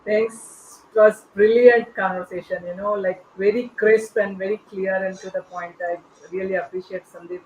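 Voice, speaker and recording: A dull, slightly noisy recording of a woman with a mid-pitched voice. She hosts a casual, unscripted discussion, speaking haltingly as she goes. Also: fairly even intonation, darkish tone. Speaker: podcast host and quizmaster. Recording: muffled, clearly noisy connection.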